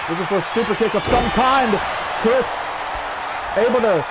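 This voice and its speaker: A man calling a video game wrestling match play by play, energetic with shouted exclamations and a strained throat. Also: high voice, moderate pace. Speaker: play-by-play commentator. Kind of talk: excited wrestling commentary over video game. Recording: loud game crowd and noise behind. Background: video game wrestling crowd.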